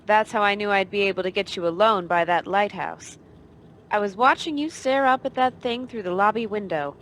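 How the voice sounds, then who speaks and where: An actress playing a tough, teasing femme fatale, cool and wisecracking, in a noir radio play. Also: female voice, mid-range voice, a bit bright, slight background noise, close to an everyday voice, low-quality recording. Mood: sly, teasing.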